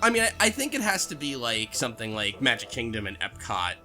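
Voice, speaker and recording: Bright voiced guy, really animated pitch, butting in and asking stuff in a casual chat. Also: brisk, faint music behind. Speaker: book club podcast panelist. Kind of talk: casual group discussion.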